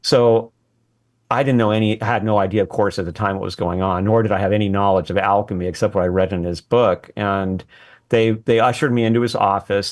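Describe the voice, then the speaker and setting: A man talking as an interview guest, telling a personal story conversationally and acting out bits of remembered dialogue. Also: sits low. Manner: acts out quoted dialogue while reminiscing. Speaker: interview guest, former research assistant and scholar. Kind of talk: animated anecdotal storytelling in conversation.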